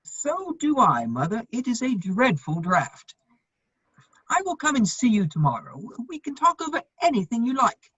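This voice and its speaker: A woman playing a witty, idle gentleman bachelor in his thirties, arch and drily polite, in a period comedy reading. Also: a little high, big pitch swings, moderate pace, slightly rough, full character voice. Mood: placating. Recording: dull, echoey, lo-fi room sound.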